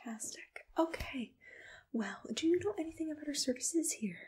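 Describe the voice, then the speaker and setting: A woman whispering softly and attentively in a soothing, reassuring ASMR roleplay. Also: high voice, animated, normal speed, slight background noise. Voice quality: breathy whisper.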